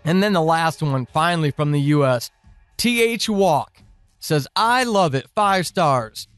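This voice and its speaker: A man hosting a podcast, speaking informally and earnestly in deliberate phrases as he addresses his listeners. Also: a little high, animated, fairly bright tone, clean tone, dry recording, soft background music. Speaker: podcast creator and host. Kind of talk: informal listener thanks and announcements.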